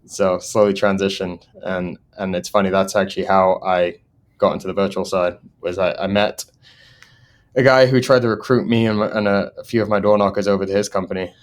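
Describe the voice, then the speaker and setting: A man's voice: low-pitched, steady tone, a little fast. A man in his thirties with an English accent that has gone partly American, telling stories as a podcast guest and laughing often. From England. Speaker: guest, solar sales manager. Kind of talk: casual podcast interview.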